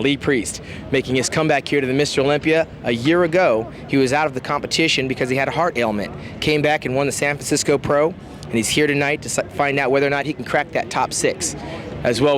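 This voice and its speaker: A man hosting and reporting live from backstage at a bodybuilding contest, introducing competitors and interviewing them in an energetic, announcer-like way. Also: medium pitch. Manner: energetic, rapid-fire, announcer-like. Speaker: interviewer, event host.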